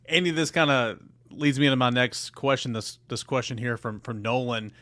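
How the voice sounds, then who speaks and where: A man's voice: mid-range voice, fairly even intonation, moderate pace, a bit bright, no echo, clean studio sound. A man hosting a sports podcast, moving between ad reads and talk to the listener.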